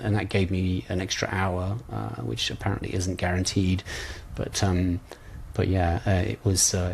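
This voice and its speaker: Man, low gravelly voice, conversational trainer, hesitant thinking aloud, noticeable background noise. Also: a little mellow. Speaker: coach trainer leading exam preparation session. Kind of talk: informal online lesson with practice questions.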